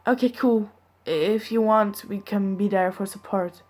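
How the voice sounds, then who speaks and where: A woman's voice: medium pitch, a little slow, darkish tone, lightly acted. A woman voicing a friendly, easygoing young man who offers support in a fan story reading.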